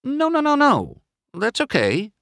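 A man voicing a cocky, excitable young male race car in a children's storybook, with sputtering, indignant protests. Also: extremely high pitch, unhurried, gravelly, no echo, clean studio sound, pitched above the performer's own voice, full character voice. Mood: anxious.

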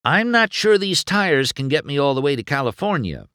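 Man as a playful young race car, animated. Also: on the high side, moderate pace, dry recording, studio quality, voice pushed up. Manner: playful, easygoing.

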